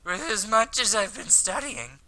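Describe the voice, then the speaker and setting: A man voicing an awkward, lovestruck high school boy, wry and self-deprecating, in a visual novel. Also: sing-song, normal speed, gravelly, sharp tone, heavily acted, low-quality recording. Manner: wry, flustered. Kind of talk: visual novel character read aloud.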